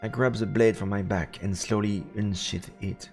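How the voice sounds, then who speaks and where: A man's voice: on the low side, steady tone, moderate pace, darkish tone. A man reading a visual novel aloud as dramatic narration on a game stream. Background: game music.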